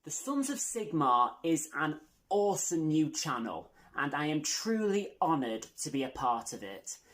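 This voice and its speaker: Woman, low pitch, unhurried delivery, casual channel announcement, self-deprecating, tongue-in-cheek. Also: big pitch swings. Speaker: video channel host.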